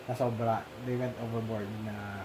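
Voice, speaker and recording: A man's voice: on the low side, flat delivery. Filipino man chatting in Taglish. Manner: halting, many restarts and fillers, laughs often. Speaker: movie discussion co-host. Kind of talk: casual Taglish chat. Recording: noisy background.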